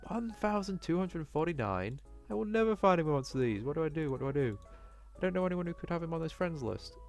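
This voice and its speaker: A man's voice: on the high side, animated, quick pace, creaky, faint music behind, voice pushed up. A man voicing an anxious, self-doubting young cat student who talks fretfully to himself in a visual novel. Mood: frustrated, dismayed.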